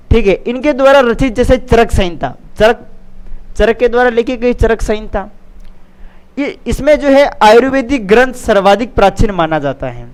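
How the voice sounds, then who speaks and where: A man teaching students in an exam-prep lecture, repeating key phrases and adding check-in tags in a didactic way. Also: sits high.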